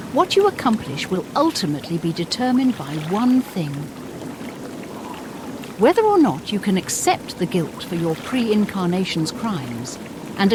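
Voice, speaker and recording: A woman playing a wounded, accusing female figure in a fantasy game, speaking formally and deliberately. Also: mid-range voice, noisy background. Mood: grave, foreboding. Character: accusing, wounded female duplicate, brooding and solemn. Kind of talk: scripted fantasy game dialogue.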